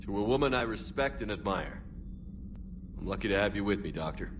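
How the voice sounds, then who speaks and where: An actor playing a stern male starship commander in a video game cutscene, speaking in a low, clipped way. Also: expressive, brisk, background noise. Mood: resolute.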